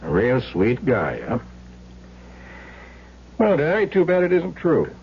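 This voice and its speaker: A man's voice: mid-range voice, huge pitch swings, vocal fry, exaggerated voice. An American man playing a skeptical police lieutenant in an old radio crime drama, questioning with dry, knowing sarcasm. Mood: sardonic, knowing. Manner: deliberate, dryly sarcastic, knowing. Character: skeptical, sardonic male police lieutenant interrogating a suspect. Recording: dull, noisy old radio recording with hum.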